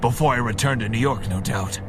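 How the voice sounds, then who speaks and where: An actor playing an earnest, commanding costumed hero in an audio drama, with a stern manner. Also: male voice, medium pitch, fairly quick, music in the background, natural voice. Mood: grave.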